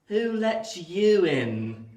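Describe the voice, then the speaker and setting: High-voiced jaunty young gentleman. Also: very animated, drawn-out words, exaggerated voice. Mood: cocky, challenging. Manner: formal, jaunty, well-mannered. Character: cocky, polite pale young gentleman, a boy. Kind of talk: radio play acting of Victorian drama. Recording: echoey room sound.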